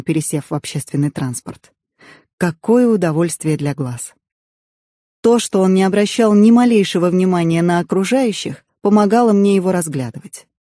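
Mellow-voiced woman narrating an audiobook. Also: lowish voice, dry recording, clean studio sound. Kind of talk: expressive scripted narration of a novel in first person.

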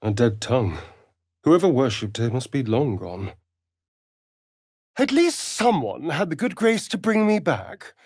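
An actor playing a sly, vain vampire rogue in a video game, with a silky, theatrical, mocking drawl. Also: male voice, mid-range voice, big pitch swings, measured pace, pauses often, a little mellow, slightly rough, dry recording, clean studio sound. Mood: teasing, disdainful. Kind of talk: video game character voice lines.

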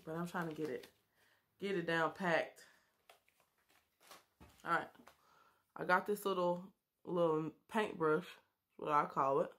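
A woman's voice: fairly low pitch, fairly even intonation, unhurried, long pauses, a bit bright. A woman in her thirties chatting and joking with viewers while doing her makeup, recorded close on a webcam in a small bedroom. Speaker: beauty vlogger. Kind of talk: casual makeup tutorial chat.

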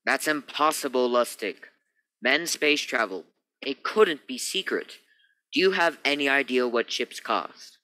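Space captain for radio play, male actor: sharp, tense, authoritative, mid-pitched, skeptical. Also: animated, unhurried, low-quality recording. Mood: insistent. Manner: emphatic, authoritative.

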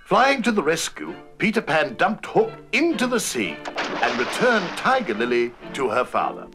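Over clear music, a man narrates a children's storybook. His voice is slightly high and moves up and down in a lively, scripted storytelling read. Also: moderate pace.

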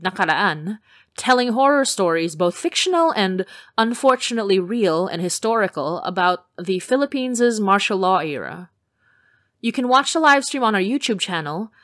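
A woman's voice: mid-range voice, a bit bright, dry recording, studio quality. A woman podcast creator reading a scripted announcement in a friendly, upbeat way, addressing listeners directly.

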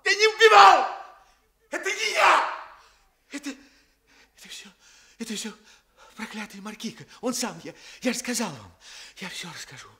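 Cornered, fawning suspect for radio drama; sharp, husky voice, frightened stammer. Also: male voice, very high voice, big pitch swings, moderate pace, pauses often, exaggerated voice. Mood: panicked. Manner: obsequious, faltering, stammering. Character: frightened, evasive Sicilian male suspect under interrogation, servile schemer. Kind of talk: Soviet radio drama acting.

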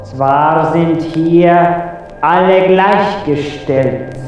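A man's voice: on the high side, drawn-out words, a little mellow, far from the mic. A man playing a pompous, scornful ruler of the underworld in an amateur 1980 radio play, with heavy reverb added to his voice. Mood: solemn. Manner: grandiose, solemn, scornful. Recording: echoey 1980 tape, music behind.

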